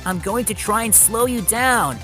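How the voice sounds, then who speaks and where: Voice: mid-range voice, sing-song, brisk, music in the background, voice pushed up, natural voice. A storyteller voicing an eager young male tank engine, earnest and urgent, in a children's story.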